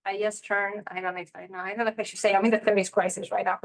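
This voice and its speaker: A woman. Her speech is candid and rambling, full of "you know" and "like". Her voice sits at an ordinary middle pitch. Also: level tone, racing, vocal fry. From Spain. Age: 30s. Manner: chatty and candid, heavy use of "you know" and "like". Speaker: interview guest, real estate investor. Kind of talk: casual interview chat.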